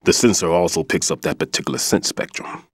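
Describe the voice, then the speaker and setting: An actor playing an older male bomb disposal expert, a patient mentor who explains things in a measured, instructive way in a game cutscene. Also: deep voice, dry recording, clean studio sound. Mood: calm instructive. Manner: instructive, reflective. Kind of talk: video game cutscene dialogue. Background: music score.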